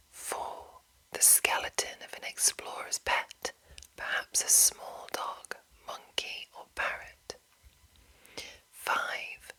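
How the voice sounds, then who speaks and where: Woman, ASMR host, breathy whisper, crisp sibilant brightness, unhurried gentle delivery. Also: poor audio. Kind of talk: whispered ASMR, chatting and reading aloud.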